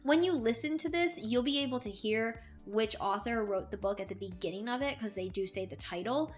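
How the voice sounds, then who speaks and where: High-voiced, enthusiastic woman reviewing books. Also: expressive, a little fast, faint music behind. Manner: enthusiastic, emphatic. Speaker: co-host of a book review show. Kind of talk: casual chatty book review.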